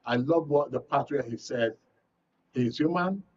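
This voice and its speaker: A man's voice: mid-range voice, moderate pace, a little mellow, slightly rough. A man with a Nigerian accent hosting and moderating a live political talk show. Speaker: host. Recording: muffled, distant-mic broadcast audio.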